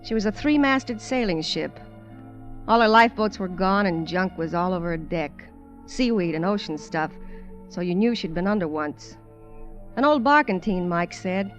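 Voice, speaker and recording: A woman's voice: mid-range voice, expressive, moderate pace, soft background music, natural voice. Woman playing a wry showgirl, vintage radio sound. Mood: reflective, narrating. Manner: colloquial, wry. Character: wry, streetwise American showgirl castaway, reflective storyteller. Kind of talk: 1950s American radio drama acting and narration. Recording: dull vintage radio recording.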